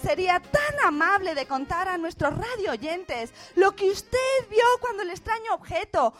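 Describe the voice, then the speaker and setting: Woman as female live reporter, extremely high voice, excitable, flustered, repetitive, radio play parody. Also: unhurried, faint music behind, heavily acted. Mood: exasperated. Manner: breathless exclamations, stammering, exasperated repetition.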